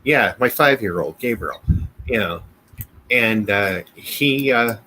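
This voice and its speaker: A man co-hosting a podcast in casual conversation, speaking haltingly and repeating his phrases. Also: fairly low pitch, expressive, sharp tone. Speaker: podcast co-host. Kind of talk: casual chat. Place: at home, family elsewhere in the house.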